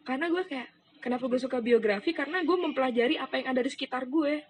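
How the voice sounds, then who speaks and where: A woman's voice: sits high, fast, a little hiss or hum. A young woman, chatting casually and telling stories in slangy speech. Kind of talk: casual chat.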